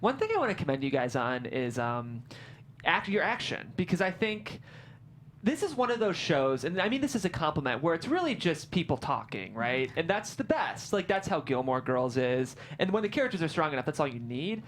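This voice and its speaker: Low-voiced woman hosting a panel discussion. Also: big pitch swings, brisk. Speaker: host. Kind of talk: panel discussion, casual feedback. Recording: light background room noise.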